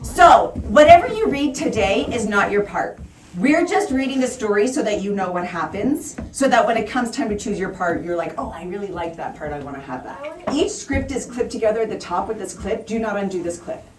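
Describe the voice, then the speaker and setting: A woman's voice: medium pitch, lively intonation, brisk. Woman teaching class in an echoey room. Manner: explanatory, with simple step-by-step phrasing and emphasis on key words. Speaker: drama teacher. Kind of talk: classroom instruction to children. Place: indoor school classroom. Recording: roomy echo, distant mic, faint noise.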